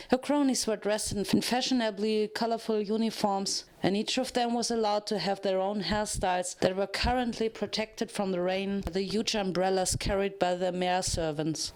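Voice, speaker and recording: A woman narrating a scripted story as a dramatic reading. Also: medium pitch.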